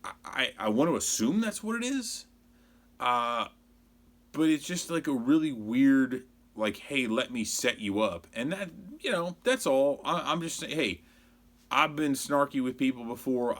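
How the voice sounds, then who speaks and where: A man's voice: medium pitch, animated, moderate pace, a bit bright. An American man around forty giving a casual, rambling opinion monologue as a sports show host, conversational and exasperated. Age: 40s.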